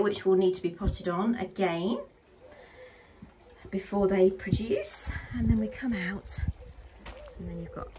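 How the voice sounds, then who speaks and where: A woman's voice: fairly low pitch, a little hiss or hum. A woman with an English accent giving a chatty, casual commentary as she shows viewers around, recorded outdoors on a handheld device. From England. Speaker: gardening vlogger. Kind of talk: casual garden tour commentary. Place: outdoors walking around a garden. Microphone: handheld phone or camera behind the lens.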